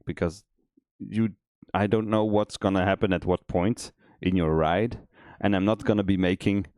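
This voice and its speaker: A man with a cold, his voice extra deep, chatting easygoing and rambling with many restarts while streaming game development. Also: a little mellow, no echo, clean studio sound. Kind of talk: casual chat on a dev stream.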